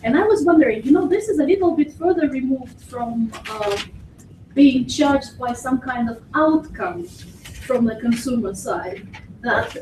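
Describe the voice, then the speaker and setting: A woman's voice: high voice, expressive, measured pace, a little mellow. A woman in the audience asking a question politely and hesitantly. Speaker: audience member. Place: hotel conference hall. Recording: echoey hall from far off, faint noise.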